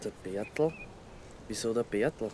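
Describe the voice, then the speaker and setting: An actor playing a wry, resigned young amateur sleuth with an Austrian accent in a naturalistic radio drama. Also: male voice, fairly low pitch, animated, slow pace, a little mellow, slight background noise. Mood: puzzled, wry.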